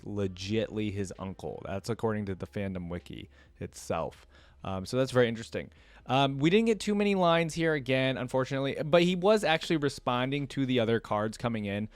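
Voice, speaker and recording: A man gives off-the-cuff reaction commentary in a dry recording. His voice is crisp and middle-pitched. He talks like a host chatting to viewers, slangy and thinking out loud. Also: dry recording. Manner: conversational, thinking aloud, slangy.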